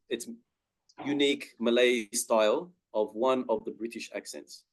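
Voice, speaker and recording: Australian man, guest speaker, mid-pitched voice, measured and clear delivery. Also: rough recording. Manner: slow, careful, clear explanation. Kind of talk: webinar talk.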